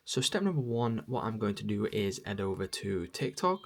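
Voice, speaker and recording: A man's voice: fairly low pitch, very animated, moderate pace. A man presenting a step-by-step app tutorial in plain instructional narration.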